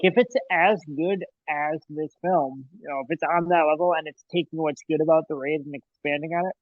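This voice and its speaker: A man's voice: a little high, no echo. Rambling podcast man, dull dry sound. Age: 30s. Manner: rambling, hedging, laughs often. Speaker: podcast co-host. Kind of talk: casual movie review chat.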